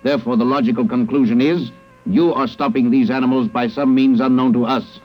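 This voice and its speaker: A man's voice: mid-range voice, steady tone, brisk, lightly acted. Casting: male actor as ancient alien keeper, coldly logical, stilted formal delivery, dull vintage radio sound. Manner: formal, stilted, enumerates points, emotionless. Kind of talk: classic radio drama acting. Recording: dull, lo-fi old radio recording.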